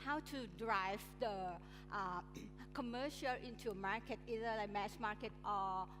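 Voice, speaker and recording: A woman's voice: medium pitch, a little slow. A woman introducing her work on a conference panel, speaking hesitantly with frequent uhs. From Thailand. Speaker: panelist, startup unit head. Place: large conference hall on stage. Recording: reverberant hall, music playing.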